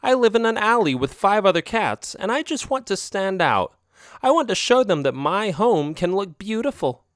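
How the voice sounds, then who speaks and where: A man's voice: high-pitched, very animated, normal speed, no echo, studio quality, pitched above the performer's own voice. A man voicing a pushy, dramatic young female alley cat who whines and turns to tears to get her way. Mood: wheedling. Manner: whiny and manipulative, breaking into sobs.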